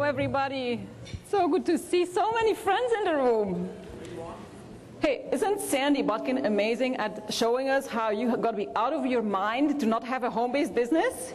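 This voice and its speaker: A woman from Belgium with a Belgian accent, telling personal stories as a convention speaker, with small hesitations. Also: sits high. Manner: warm anecdotal storytelling with hesitations. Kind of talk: motivational keynote storytelling. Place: large convention hall. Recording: reverberant convention hall.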